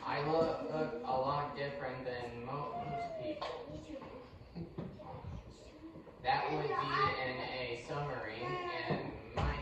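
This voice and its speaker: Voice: mid-range voice, sing-song, measured pace. A performer voicing a friendly green sea creature on a submarine crew in a children's puppet show, talking in an earnest, folksy, simple way. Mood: earnest, grateful. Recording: echoey hall from far off, loud audience noise.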